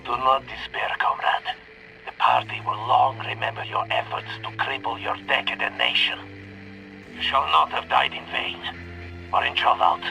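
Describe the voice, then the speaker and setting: Gravelly Russian spy villain. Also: male voice, medium pitch, very animated, normal speed, sharp tone, faint music behind, heavily acted, poor audio. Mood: mock solemn. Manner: haughty, menacing, formal. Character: cold, contemptuous male Soviet KGB agent villain. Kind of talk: video game cutscene acting.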